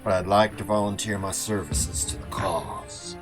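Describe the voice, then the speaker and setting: An actor playing a grizzled, respectful veteran returning to service in a sci-fi audio drama. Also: male voice, sits low, fairly even intonation, normal speed. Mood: steady, resolute. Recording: music behind the voice.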